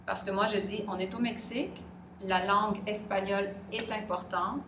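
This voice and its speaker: A middle-aged Québécoise woman with a Québécois French accent, answering interview questions thoughtfully and pausing often to search for her words. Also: medium pitch, steady tone. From Quebec. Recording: echoey room, distant mic, background noise.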